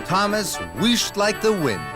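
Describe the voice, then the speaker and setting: Slow, animated male children's narrator. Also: high voice. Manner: expressive, deliberate storytelling. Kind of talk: scripted children's story narration. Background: music.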